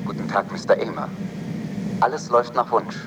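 A man playing a calm, softly menacing spaceship computer in a dubbed science-fiction film, clear and gently arrogant, with no electronic distortion. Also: mid-range voice, normal speed, noisy background, lightly acted. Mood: smug calm. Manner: gentle, blasé, quietly arrogant.

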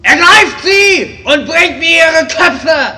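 Piercing evil dwarf emperor in echoing hall. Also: male voice, very high voice, lively intonation, takes it slowly, sharp tone, soft background music, full character voice. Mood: commanding. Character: mocking, bloodthirsty male dwarf emperor villain. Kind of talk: parody fantasy audio drama. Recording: long echoing hall reverb.

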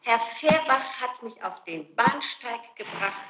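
A woman's voice: on the high side, animated, takes it slowly, pushed and bright, reverberant, rough recording. A woman under interrogation, defensively insisting on her account.

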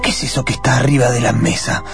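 A man's voice: medium pitch, little pitch movement, quick pace, creaky, over music, lightly acted. A man voicing a nervous, obsessive researcher in a radio drama, agitated and stammering, with an Argentine accent. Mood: alarmed disbelief. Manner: agitated, stammering, talking to himself.

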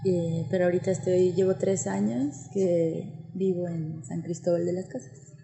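In a very echoey room, a woman with a central Mexican accent speaks as an interview guest. Her voice is on the low side and dark in tone. She answers conversationally, often pausing to search for words. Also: little pitch movement, creaky, faint music behind. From State of Mexico, Mexico. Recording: very echoey, reverberant room sound.

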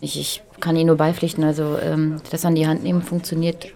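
A woman's voice: deep voice, flat delivery, quick pace, vocal fry, mellow. A woman playing a polite, agreeable but time-conscious businesswoman in a naturalistic radio drama, tactful and a little hesitant. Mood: polite, managing.

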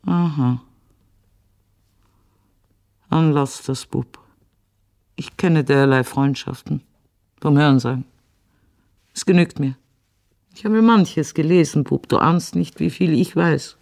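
An actress playing an imperious, sharp-tongued old Viennese mother in a radio play, haughty and deliberate. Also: deep voice, vocal fry, heavily acted. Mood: sardonic, disapproving. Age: older. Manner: haughty, deliberate, probing with curt questions. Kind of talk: radio drama acting.

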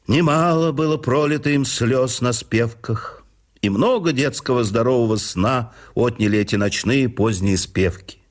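A man narrating a memoir in a measured storytelling manner for a radio production. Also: medium pitch. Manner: measured storytelling with lively intonation.

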